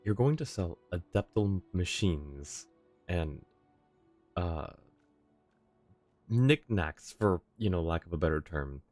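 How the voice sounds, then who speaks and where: A man's voice: deep voice, lively intonation, slow, pauses often, darkish tone, faint music behind. A man giving casual, wry livestream commentary on a game in an informal way. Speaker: game streamer.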